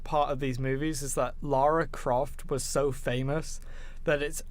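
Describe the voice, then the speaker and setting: A man's voice: mid-range voice, level tone, unhurried. A man co-hosting a podcast, chatting casually about films in an enthusiastic, rambling, sweary way. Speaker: podcast co-host.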